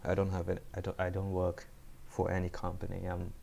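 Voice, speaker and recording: A man's voice: deep voice, completely flat delivery, a little fast, creaky, a little mellow. A young man from Cambodia, a first-year student, chatting casually with his viewers while streaming, often repeating and restarting words. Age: young adult. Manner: rambling, repeats words, chats with chat. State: cold. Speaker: live coding streamer.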